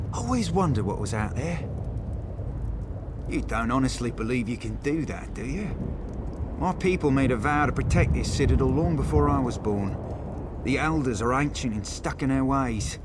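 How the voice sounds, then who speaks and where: A man's voice: medium pitch, background noise, close to an everyday voice. A man voicing a curious, sheltered wood elf warrior in a video game, wry and reflective.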